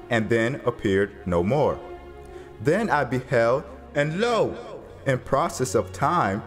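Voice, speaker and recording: A man with a mid-pitched voice narrates scripture as drama. His delivery is very slow and solemn, measured like grave storytelling, with music faintly playing behind him.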